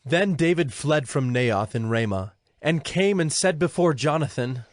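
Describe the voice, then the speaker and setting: A man's voice: fairly high pitch, animated, measured pace, dry recording. A man narrating scripture from a script as the narrator of a dramatized audio production. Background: music under the voice.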